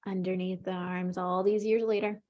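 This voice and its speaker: A woman coaching an emotional tapping session, calmly leading her client through short phrases to repeat, in an encouraging way. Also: fairly low pitch, normal speed. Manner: calm, encouraging, leads with short prompts.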